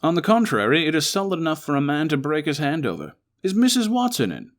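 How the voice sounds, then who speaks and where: A man's voice: fairly high pitch, very animated, quick pace, pitched above the performer's own voice. A man playing a brilliant, weary but resolute Victorian detective in a one-man audio drama, articulate, formal and emphatic. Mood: brisk.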